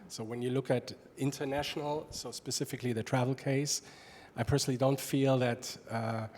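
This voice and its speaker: Measured male panelist in a big hall. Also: mid-range voice, darkish tone, a little hiss or hum. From Europe. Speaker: conference panelist. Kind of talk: panel discussion answer. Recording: reverberant conference hall with faint room ambience.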